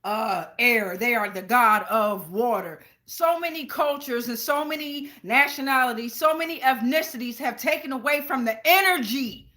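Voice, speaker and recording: High, bright American woman reading tarot. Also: normal speed, hardly any pauses. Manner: slangy, profane, rambling. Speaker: tarot reader, channel host. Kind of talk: casual live tarot reading.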